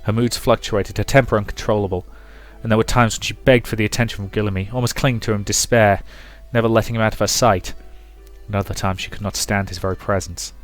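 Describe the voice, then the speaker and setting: A man's voice: fairly low pitch, steady tone, quick pace. A man hosting a let's play, reading the game's story aloud and commenting on it casually. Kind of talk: casual game commentary and dramatic read-aloud narration. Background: music.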